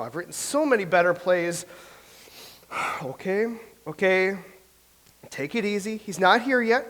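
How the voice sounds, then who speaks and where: An actor playing a nervous, self-doubting Elizabethan playwright who stammers and speaks theatrically in a staged reading. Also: male voice, sits high, a bit bright. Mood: fretful. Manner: theatrical, fretful, stammering soliloquy. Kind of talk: staged reading of a comedy play.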